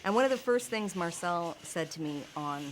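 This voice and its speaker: Candid woman with a lowish voice. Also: animated, moderate pace, background noise. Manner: candid, often laughing mid-sentence. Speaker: Paralympic skier telling her story. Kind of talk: documentary interview narration.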